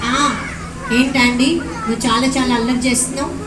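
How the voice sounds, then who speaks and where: A woman voicing a kindly grandpa puppet in a live puppet show, gently scolding the children. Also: sits high, fairly even intonation, a little fast, natural voice. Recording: echoey, noisy hall.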